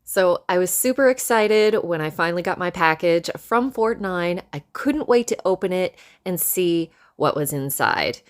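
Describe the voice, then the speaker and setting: A woman's voice: fairly low pitch, animated, normal speed, few pauses. A woman vlogger speaking in a chatty, enthusiastic way, casually reviewing motorcycle gear and narrating rides.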